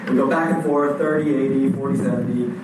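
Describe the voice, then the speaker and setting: A man preaching a sermon in a conversational way, often pausing with fillers and restarting his sentences. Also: medium pitch, fairly even intonation. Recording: echoey room heard from a distance with background noise.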